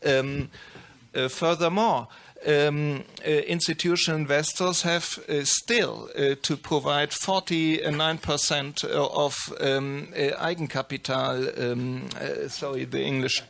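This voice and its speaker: A man with a German accent speaking as a panelist, halting and searching for English words. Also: on the high side, fairly even intonation, vocal fry, fairly bright tone. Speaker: panelist, sustainability investor. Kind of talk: panel discussion.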